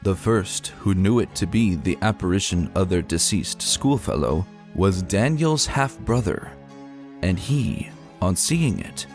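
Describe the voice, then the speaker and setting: A man reading a scripted old ghost account as narrator, in a measured, formal storytelling manner. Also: sits low, expressive, darkish tone, soft background music.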